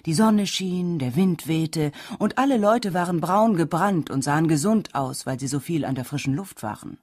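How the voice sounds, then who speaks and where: A woman's voice: deep voice, expressive, moderate pace, a little mellow, slightly rough, no room sound, clean studio sound. A woman narrating a folk tale as a scripted audiobook. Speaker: storyteller.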